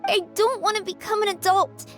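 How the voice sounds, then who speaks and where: An actress playing a frail, wistful sick little girl in a video game, speaking in a halting, plaintive way. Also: very high voice, fairly even intonation. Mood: pleading, sorrowful. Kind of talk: English video game voice acting. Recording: music behind the voice. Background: game background music.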